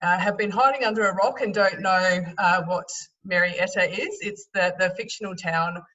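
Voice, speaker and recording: A woman moderating a panel in a casual, unscripted way, halting often with lots of ums. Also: fairly low pitch, sharp tone. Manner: hesitant, halting delivery with many ums. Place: remote video call. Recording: dull audio, distant from mic.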